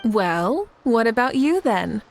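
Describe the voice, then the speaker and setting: A woman playing a composed, confident leader in a video game, speaking in a measured, authoritative way. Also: a little high, very animated, heavily acted. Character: composed, confident female leader, calm and encouraging.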